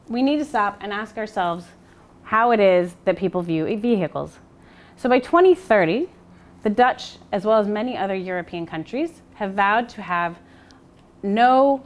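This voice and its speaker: An American woman presenting a scientific seminar, explaining steadily with fillers and self-corrections. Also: mid-range voice. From United States. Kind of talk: academic presentation.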